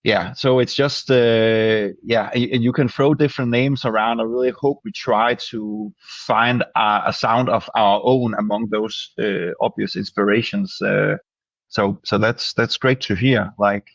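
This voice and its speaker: Danish man, lowish voice, dry dull call audio, halting restarts. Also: fairly even intonation, dry recording. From Denmark. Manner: hesitant, many restarts and fillers. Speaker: band singer. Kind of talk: interview answers.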